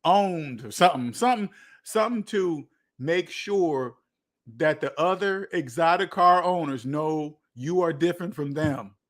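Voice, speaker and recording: A man's voice: a little high, lively intonation, measured pace. An American man hosting a casual livestream, chatting with viewers in a rambling way and repeating phrases for emphasis. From United States. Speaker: livestream host. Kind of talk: casual livestream chat, answering viewer comments.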